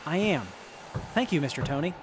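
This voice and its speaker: A man's voice: a little high, expressive, a little fast, lightly acted. A man playing a polite, eager tinkerer in an audio drama, courteous and enthusiastic. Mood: polite, pleased. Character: polite, eager young tinkerer who loves tech. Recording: noisy background mix.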